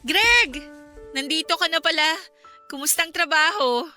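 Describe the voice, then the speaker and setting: A woman's voice: very high voice, expressive, moderate pace, bright, forceful tone, heavily acted. An actress playing a hurt, neglected young wife and mother in a Filipino radio drama, with a plaintive, emotional delivery. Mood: eager, welcoming. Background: music.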